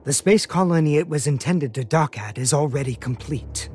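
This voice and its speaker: An actor playing a solemn, soft-spoken mage burdened by regret, with formal, measured speech in an animated game cutscene. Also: male voice, a little high, lightly acted. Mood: grave, explaining. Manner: formal, measured, articulate wording. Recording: dramatic music under the voice.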